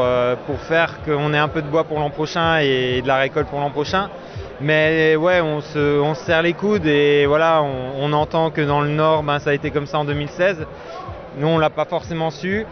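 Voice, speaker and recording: A man with a slightly high voice talks about wine work in a loose, rambling way, full of fillers. He stands outdoors at a festival, and the sound is a bit dull under a lively crowd. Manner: relaxed, rambling, many fillers. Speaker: winemaker. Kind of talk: casual interview answers.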